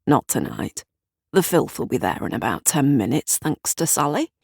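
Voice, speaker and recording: A woman's voice: very deep voice, sing-song, normal speed, gravelly, darkish tone, husky, no room sound, studio quality, pitched below the performer's own voice, exaggerated voice. Woman putting on a shifty blustering crook. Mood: confident. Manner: pressing, blustering, grudging. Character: shifty, grumbling small-time male crook neighbour.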